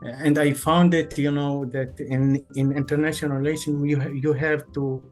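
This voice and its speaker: A man's voice: fairly high pitch, level tone, moderate pace, a little mellow. A man in his thirties from Tunisia, a native Arabic speaker, talking over a video call and leaning on "you know" between phrases. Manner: hesitant, fills with "you know" constantly. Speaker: guest, English teacher. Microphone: video call webcam. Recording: faint background music.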